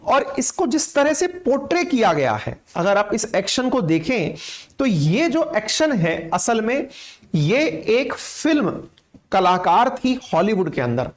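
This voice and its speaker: A man with an Indian accent teaching current affairs in a didactic, explanatory way, often checking that listeners follow. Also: high-pitched. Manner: didactic, pausing to check with "theek hai".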